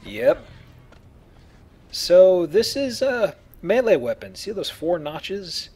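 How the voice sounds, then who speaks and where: A man's voice: high voice, expressive, slow, vocal fry, darkish tone, slightly rough. A man hosting a casual Let's Play, explaining the game and joking as he goes. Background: video game sounds.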